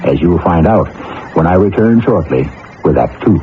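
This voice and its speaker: A man's voice: sits low, animated, moderate pace. A man hosting a radio drama, delivering dramatic scripted narration. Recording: muffled old radio broadcast, noisy.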